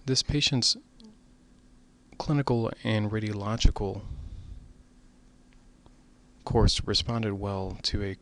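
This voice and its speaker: A man narrating a medical case lecture as presenter, in measured, formal explanatory phrasing. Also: fairly low pitch, a bit bright.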